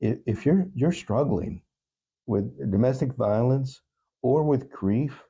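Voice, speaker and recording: A man speaking as an interview guest, giving earnest, thoughtful answers in a halting, reflective way. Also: medium pitch, dark tone, breathy edge. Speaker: talk show guest.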